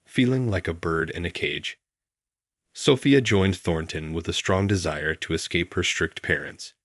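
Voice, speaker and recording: A man in his thirties narrating a first-person story in an even, steady way. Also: deep voice, no echo, clean studio sound. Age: 30s.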